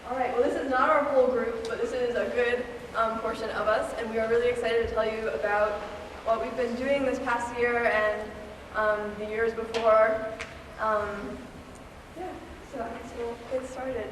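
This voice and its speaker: A teenage girl giving a school presentation, hesitant and pausing with frequent ums. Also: fairly high pitch, fairly even intonation. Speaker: student presenter. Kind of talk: presentation to a board. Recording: echoey hall from far off, faint hiss.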